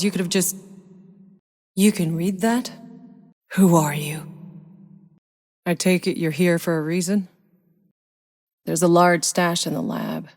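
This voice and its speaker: Casting: hardened female game soldier, lowish voice, unhurried, wry and gritty, dramatic sci-fi delivery. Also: vocal fry, big-room sound. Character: tough, sardonic female sci-fi outrider soldier. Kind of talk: video game voice lines, dramatic acting.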